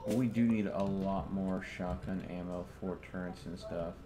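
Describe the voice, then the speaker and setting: Male streamer, low voice, casual gameplay chat over clearly noisy audio. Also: steady tone, measured pace. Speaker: video game streamer, host.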